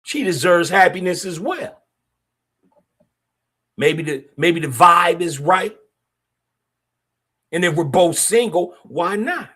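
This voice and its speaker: A middle-aged man hosts a podcast monologue. His voice sits a little high for a man and swings widely in pitch. He speaks bluntly, with street slang and strong opinions. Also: animated, takes it slowly, long pauses, sharp tone. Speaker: podcast host.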